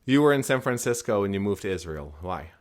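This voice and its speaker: A male podcast host with a very deep voice. He asks short, direct questions and delivers his sponsor pitch upbeat. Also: very animated, a little fast. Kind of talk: interview questions and promos.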